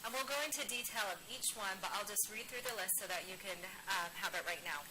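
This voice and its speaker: A woman giving an upbeat, measured presentation, pausing after each point for an interpreter, seated on a studio set. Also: medium pitch, fairly even intonation, background noise. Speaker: wellness product director presenting. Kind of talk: scripted presentation, paused for an interpreter.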